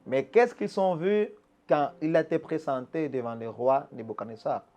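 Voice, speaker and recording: A fairly high-pitched man speaks as a pastor being interviewed. He teaches with emphatic conviction and often stumbles back over a word before pushing on. Faint music plays underneath. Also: moderate pace, darkish tone. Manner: restarts and repeats words, emphatic teaching tone. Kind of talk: earnest preaching-style answers. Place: Quebec.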